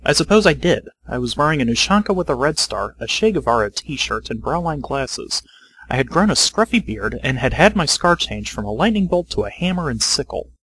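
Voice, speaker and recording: A man's voice: medium pitch, sing-song, brisk, a bit bright, a little hiss or hum, poor audio. A man reading scripted first-person story narration as the narrator.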